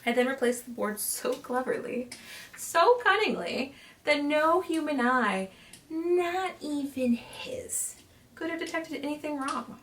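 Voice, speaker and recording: A woman's voice: high voice, lively intonation, takes it slowly, a bit bright, pitched above the performer's own voice, full character voice. A woman voicing a nervous, gleefully mad murderer confessing in a gothic horror story, intense and insistent. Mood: cunning, gloating. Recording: distant mic, clearly noisy home recording.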